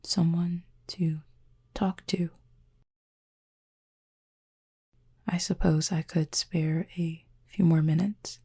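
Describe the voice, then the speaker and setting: Woman acting as injured fallen cupid, low gravelly voice, formal wording, aloof yet curious, intimate audio roleplay. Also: little pitch movement, heavily acted. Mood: wry, reserved. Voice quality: soft, breathy, husky with fry. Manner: measured, formal, wordy phrasing.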